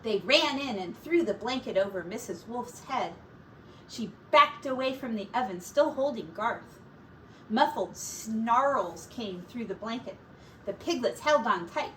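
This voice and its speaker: A woman teacher reading a children's story aloud with expressive, deliberate dramatic emphasis. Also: a little high. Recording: distant mic with faint room noise.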